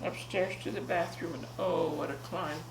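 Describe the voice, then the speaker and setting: Jovial, creaky-voiced woman of ninety. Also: on the low side, moderate pace. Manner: jovial, expressive. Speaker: grandmother telling a family story. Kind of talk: casual reminiscing and animated story reading for family. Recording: echoey, distant home recording with faint noise.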